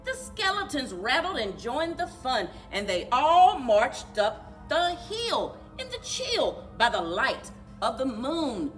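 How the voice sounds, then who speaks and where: A woman reading a children's storybook aloud in an animated, rhythmic singsong for a library story time. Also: high-pitched, takes it slowly, faint music behind, poor audio. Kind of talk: children's storybook read-aloud with chanted refrains.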